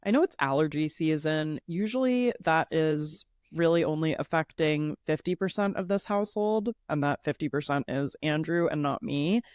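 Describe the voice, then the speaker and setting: A woman's voice: low-pitched, normal speed, hardly any pauses, a little mellow, dry recording, studio quality. A woman in her thirties hosting a casual solo podcast, chatty and upbeat, sounding a little congested. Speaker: podcast host.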